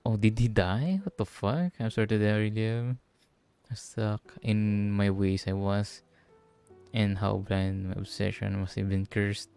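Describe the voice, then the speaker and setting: A man's voice: on the low side, steady tone, measured pace, vocal fry, warm tone, smooth, soft background music. A man from the Philippines, giving casual, sweary commentary while livestreaming a game. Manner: informal, sweary.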